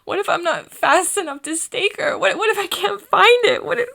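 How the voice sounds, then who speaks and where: A woman playing a frightened but stubborn human confiding in a vampire friend in an intimate ASMR audio drama, with a husky, breathy voice and hesitant delivery. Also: extremely high pitch, lively intonation, sharp tone, full character voice. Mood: panicked. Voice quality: breathy, husky, often dropping to a whisper. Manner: hesitant, halting, stammering over words.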